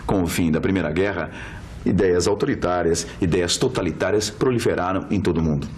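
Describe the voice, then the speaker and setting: A man's voice: sits low, fairly quick, darkish tone, slight background noise. A man with a Brazilian accent delivering scripted narration for a history documentary.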